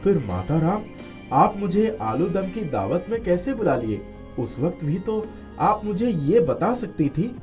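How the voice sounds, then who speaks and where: A man voicing a respectful, eager village headman in an animated moral story, courteous and expressive. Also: sits high, unhurried, over music. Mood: puzzled.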